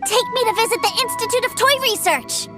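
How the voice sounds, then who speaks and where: A woman voicing an eager, stubborn little boy in a video game, an adoring younger brother with an excitable, wheedling way of talking. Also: very high-pitched, brisk. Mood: pleading, eager. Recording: music playing behind the voice.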